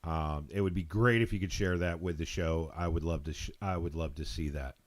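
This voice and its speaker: An American man hosting a live call-in show, talking casually in a rambling, halting, informal way. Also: sits low, fairly even intonation. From Texas, United States.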